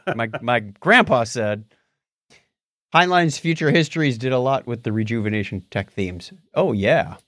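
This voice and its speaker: A man's voice: mid-range voice, normal speed, fairly bright tone, no room sound, studio quality. A man co-hosting a casual podcast, talking in a jokey, teasing way. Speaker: podcast co-host. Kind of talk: casual podcast chat with patron thank-yous.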